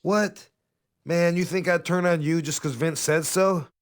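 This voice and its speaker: A man's voice: a little high, fairly even intonation, unhurried, natural voice. Man playing a casual, earnest young wrestler. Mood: defensive. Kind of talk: video game cutscene acting.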